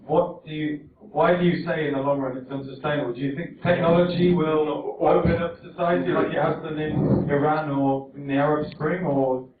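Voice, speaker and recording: A man's voice: fairly high pitch, level tone, moderate pace, few pauses, slight background noise. Probing audience man in echoey hall. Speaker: audience member. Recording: echoey hall, far mic, phone-like.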